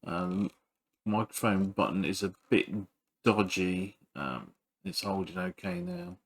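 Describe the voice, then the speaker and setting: Low-pitched man talking through a repair. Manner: halting, thinking aloud while working. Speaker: electronics repairer, video host. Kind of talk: casual hands-on repair commentary.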